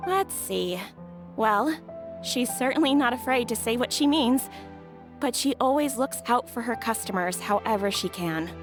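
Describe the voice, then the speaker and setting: Gentle, high-voiced young bride-to-be played by a woman. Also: expressive, normal speed, music in the background, close to an everyday voice. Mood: sincere, hesitant. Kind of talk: anime video game voice acting.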